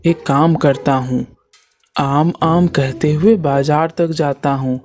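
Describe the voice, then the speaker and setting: A woman's voice: deep voice, steady tone, slow, a little mellow. A woman voicing a simple, forgetful male servant in a Hindi cartoon story, earnest and dim-witted. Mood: eager. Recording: faint music behind the voice.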